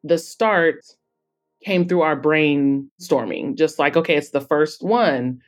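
A woman's voice: low voice, slow. A woman speaking conversationally as a guest in a podcast interview, explaining her work. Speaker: podcast guest, author and editor-in-chief.

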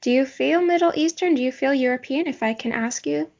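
A woman's voice: sits high, fairly quick, gravelly, darkish tone, muffled recording. A woman interviewer speaking in a casual, conversational way, asking tentative, considerate questions. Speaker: podcast interviewer.

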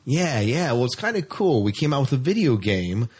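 A man's voice: mid-range voice, big pitch swings, normal speed, darkish tone, breathy edge. A man hosting a podcast, talking casually and chattily about sci-fi news with an enthusiastic, informal manner. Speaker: podcast host.

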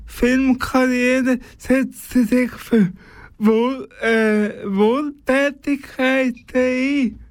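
A radio presenter giving a celebrity birthday segment in a careful, halting way with some stumbles. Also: high-pitched, steady tone, no room sound.

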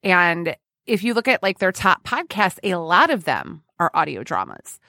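A woman's voice: lowish voice, huge pitch swings, normal speed, gravelly, pushed and bright, no room sound, clean studio sound. A woman hosting a podcast in a chatty, informal and playful way. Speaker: podcast host. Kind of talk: casual chat.

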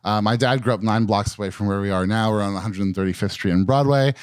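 Guy from westchester hosting a podcast, easygoing, asks lots of questions, low voice. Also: fast talker, clean tone, no room sound, clean studio sound. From Westchester County, New York. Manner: relaxed, chatty, asks questions. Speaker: podcast host. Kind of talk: casual group conversation. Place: indoor room.

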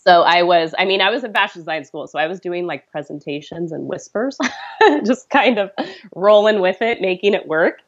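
A low-voiced woman in her thirties with a gravelly edge tells her story to a podcast host. She talks in an earnest, chatty way and laughs easily. The sound is slightly dull and lo-fi. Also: lively intonation, normal speed. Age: 30s. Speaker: podcast guest. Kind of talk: conversational storytelling.

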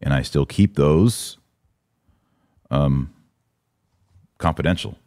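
A man's voice: very deep voice, takes it slowly, warm tone, dry recording. An American man hosting a live podcast, chatting casually and informally as he responds to listener comments. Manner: informal slangy talk. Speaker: podcast host.

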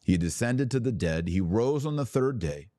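A man's voice: medium pitch, expressive, moderate pace, dark tone, dry recording, studio quality. A man hosting a podcast, giving opinionated religious commentary in a preaching style, emphatic, with rhetorical questions and sarcastic asides.

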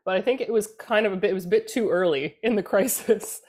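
A Canadian woman in her thirties, a political communications guest on a casual podcast discussion, talking in a halting way as she searches for words. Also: medium pitch, darkish tone.

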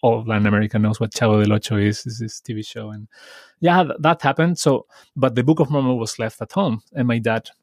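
A man hosts a conversational podcast, recounting memories in a reflective, easygoing way. His voice sits at a medium pitch and is recorded dry and close, with no room sound. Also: steady tone, fairly quick, no room sound. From Chile. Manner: reflective, storytelling, lightly laughing. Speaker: podcast host.

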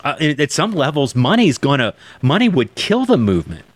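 A man's voice: fairly high pitch, wildly swooping pitch, fairly quick. A man in his forties, talking in an emphatic, preacherly way and turning to address listeners directly in a podcast conversation. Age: 40s. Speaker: church planting guest.

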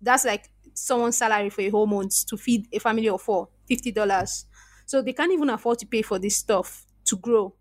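A woman's voice: medium pitch, fairly quick, no room sound, clean studio sound. A woman with a Nigerian accent, a podcast guest telling her story in an earnest, reflective way and often restarting her sentences. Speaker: podcast guest, freelance copywriter. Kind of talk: casual interview conversation.

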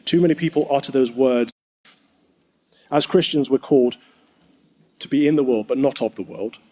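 A man, a clergyman, giving a formal, measured speech to an assembly. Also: medium pitch, warm tone. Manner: measured, firm oratory.

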